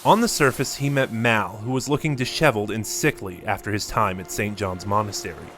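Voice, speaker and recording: A man narrating a scripted story in a measured, steady reading. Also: fairly low pitch, soft background music.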